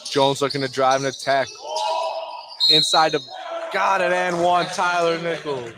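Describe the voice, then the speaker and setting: A man's voice: fairly high pitch, a little slow, a crowd in the background. A man doing live radio play-by-play of a basketball game in short, clipped phrases. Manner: clipped, terse running call in short phrases. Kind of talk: live sports radio commentary. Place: indoor high school basketball game. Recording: clear background noise of a gym.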